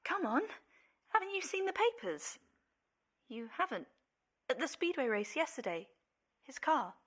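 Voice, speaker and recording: Radio play: young, superstitious, outspoken daughter, highish pitch, earnest girlish delivery. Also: big pitch swings, a little slow, fairly bright tone, heavily acted. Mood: hushed, awkward.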